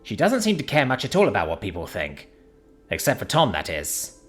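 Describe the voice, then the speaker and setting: A man's voice: fairly low pitch, huge pitch swings, a little fast, faint music behind. A man doing a dry, sarcastic young woman.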